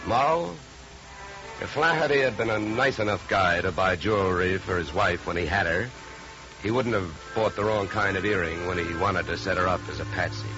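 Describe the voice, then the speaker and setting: A man's voice: sits low, normal speed, natural voice. An American actor playing a tough, wry male investigator in a 1950s radio detective drama, with a clipped hard-boiled delivery. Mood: wry, matter-of-fact. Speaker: lead actor. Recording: muffled 1950s radio recording, music.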